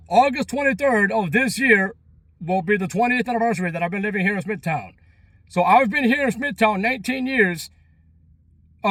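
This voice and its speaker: Thirties man, Long Island accent, high-pitched, casual vlogger, emphatic, repeats himself, dry close sound. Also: a bit bright, no echo. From Long Island, New York. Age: 30s. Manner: repetitive, emphatic, chatty. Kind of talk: casual rambling vlog to viewers.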